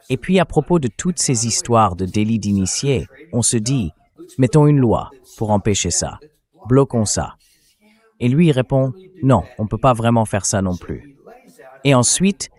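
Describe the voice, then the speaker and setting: A man's voice: fairly low pitch, darkish tone. A man's AI dubbing voice delivering blunt, indignant, sarcastic political commentary. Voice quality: synthetic AI dubbing voice.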